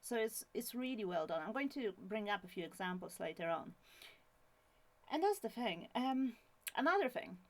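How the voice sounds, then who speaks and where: A woman's voice: fairly high pitch, big pitch swings, moderate pace. Young woman, Polish accent, casual solo channel host, chatty with frequent fillers. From Poland. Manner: chatty, many fillers and restarts. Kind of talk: casual book talk to camera.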